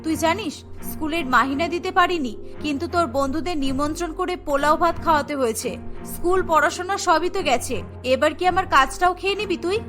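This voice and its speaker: Woman as anxious working mother, humble, burdened, cartoon drama, high bright female voice, music behind. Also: a little fast. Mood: bitter scolding. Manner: humble, worried, pleading. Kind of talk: cartoon dialogue.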